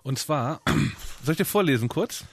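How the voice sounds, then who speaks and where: A man's voice: fairly high pitch, lively intonation, slightly rough, no room sound. A man hosting a radio show, chatting casually and reading a short text aloud with some stumbles. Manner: chatty, reads aloud haltingly. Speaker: radio host. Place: radio studio.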